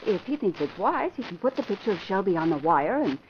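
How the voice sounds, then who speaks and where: An actress playing a plucky young female newspaper photographer in a vintage radio drama, brisk and spirited. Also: mid-range voice, very animated, lightly acted. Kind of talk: 1940s radio acting. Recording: muffled vintage radio recording with faint hiss.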